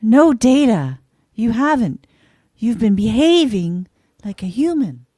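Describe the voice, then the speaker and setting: A kind, forgiving engineer voiced by a woman in radio theatre. The voice is slightly high and mellow, slow and very expressive. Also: no room sound, clean studio sound, heavily acted. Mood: warmly forgiving. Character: kind, forgiving male starship engineer friend. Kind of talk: amateur radio theatre script read.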